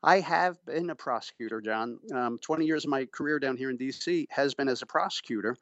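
A middle-aged man giving measured, formal and emphatic explanations as an expert guest in an interview. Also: mid-range voice, a bit bright, no echo, dull audio. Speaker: interviewee, pretrial services official and former prosecutor. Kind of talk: explanatory radio interview answers.

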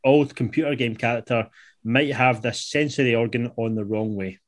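A man's voice: medium pitch, moderate pace. A man in his late twenties with a Scottish accent, hosting a quiz in casual football podcast banter. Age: late 20s. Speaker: podcast producer and quiz host.

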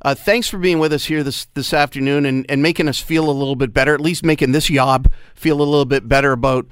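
A man hosting a radio talk show in a studio, chatty and excitable, with lots of fillers. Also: mid-range voice, fast talker, clean tone, no room sound. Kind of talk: casual radio chat.

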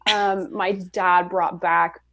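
Woman, young adult, from Switzerland, lowish voice, relaxed, chatty, soft laughs, podcast guest. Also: takes it slowly, no room sound. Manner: relaxed storytelling, frequent soft laughs and ums. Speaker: podcast guest, graphic designer and artist. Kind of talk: casual interview chat.

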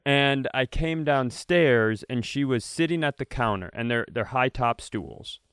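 Smooth-voiced man riffing on a podcast. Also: mid-range voice, measured pace, fairly bright tone, no room sound, studio quality. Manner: riffing, storytelling, playful bits. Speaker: podcast co-host. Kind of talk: casual comedic chat.

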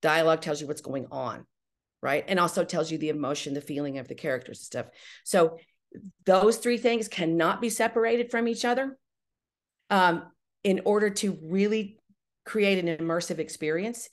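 Female interview guest, slightly low voice, explanatory and conversational, checks in often as she explains. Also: normal speed. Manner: explanatory, often checking with "right?" and "you know". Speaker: guest, audio drama writer-director.

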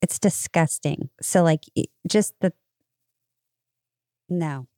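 A woman's voice: on the low side, takes it slowly, darkish tone, no echo, clean studio sound. A woman co-hosting a podcast in casual chat, with a blunt, emphatic way of talking. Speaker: podcast host.

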